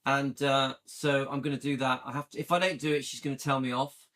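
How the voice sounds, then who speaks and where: A man's voice: medium pitch, flat delivery, fairly quick, a bit bright. A man in his late fifties from the UK, chatting casually and enthusiastically with viewers on a livestream and often checking in with "yeah?". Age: 50s. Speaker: livestream host.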